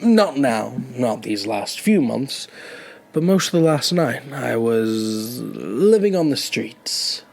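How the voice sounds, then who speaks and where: An actor playing a lonely elderly man who was once homeless, with a hesitant, gentle, wry way of talking in an audio drama. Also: medium pitch, big pitch swings, slow pace, vocal fry, exaggerated voice. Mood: wistful. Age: older. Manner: hesitant, reflective. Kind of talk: naturalistic audio drama dialogue.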